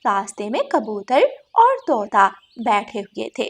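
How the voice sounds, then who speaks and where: A woman's voice: on the high side, very animated, measured pace, slightly rough. A woman narrating a scripted children's moral story.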